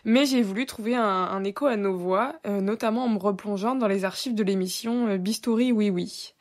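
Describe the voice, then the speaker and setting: A woman's voice: mid-range voice, moderate pace. A woman co-hosting a podcast, talking casually as she introduces her segment to the panel. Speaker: podcast co-host presenting an archive segment.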